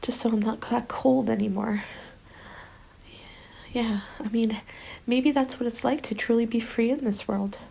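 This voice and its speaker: A woman playing a desperate, unhinged woman who longs to join the undead, coaxing in a breathy whisper in an ASMR roleplay. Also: on the high side, steady tone, measured pace, warm tone, pitched above the performer's own voice, full character voice, poor audio. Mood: eerie pleading.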